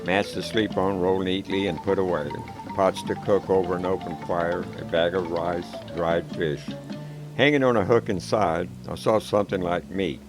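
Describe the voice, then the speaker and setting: A man reading a first-person war story in a calm, measured, plain-spoken way. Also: low voice. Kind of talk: scripted first-person narration. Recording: background music.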